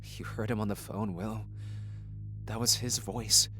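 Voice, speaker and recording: A man's voice: fairly low pitch, fairly even intonation, unhurried, pushed and bright, soft background music. An actor playing a weary, skeptical young man in an audio drama. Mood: weary, doubtful.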